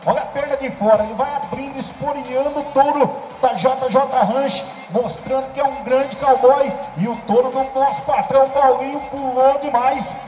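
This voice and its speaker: A man's voice: very high-pitched, steady tone, moderate pace, hardly any pauses, a little mellow, soft background music. A man from the of São commenting on rodeo replays, explaining each rider's technique. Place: rodeo arena. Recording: echoey arena PA with long reverb.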